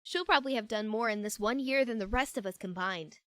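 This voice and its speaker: A woman plays a young woman with a fairly high voice in a fan audio drama. She is earnest, friendly and newly assertive, talking in an emphatic everyday way. The sound is close and dry. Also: a little fast, a bit bright, no room sound, close to an everyday voice. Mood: chatty, fond.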